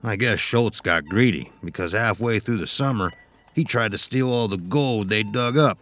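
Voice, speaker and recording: An actor playing a cynical, foul-mouthed outlaw with rough frontier speech in a western audio horror drama. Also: male voice, lowish voice, normal speed, fairly bright tone, natural voice. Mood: grim, cynical.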